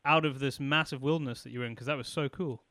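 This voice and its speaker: Smooth-voiced male host. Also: medium pitch, steady tone, fast talker, bright, forceful tone, no echo, clean studio sound. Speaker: podcast host. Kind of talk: casual interview chat.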